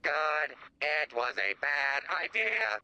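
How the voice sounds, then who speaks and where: A man's voice: on the high side, very animated, slow, gravelly, bright, forceful tone, no echo, full character voice. A man voicing a cruel, contemptuous robot drum teacher in a video game, harsh and withering. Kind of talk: video game character dialogue.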